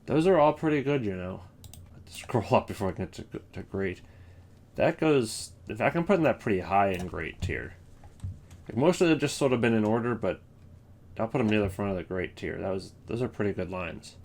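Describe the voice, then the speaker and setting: Low-voiced man rambling casually. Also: expressive, normal speed, a little mellow. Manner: rambling, thinking aloud. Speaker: host ranking video game voice lines. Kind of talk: casual unscripted commentary.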